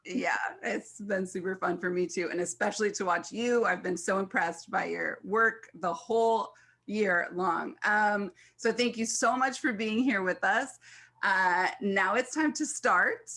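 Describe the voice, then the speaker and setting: A woman's voice: medium pitch, unhurried, fairly bright tone. An American woman hosting an online event as a filmmaking mentor, talking to guests and introducing them. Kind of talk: event hosting on a video call.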